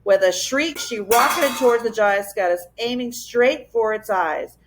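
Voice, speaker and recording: A woman reading a children's adventure story aloud as a teacher would, in expressive narration. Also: a little high, moderate pace, music in the background.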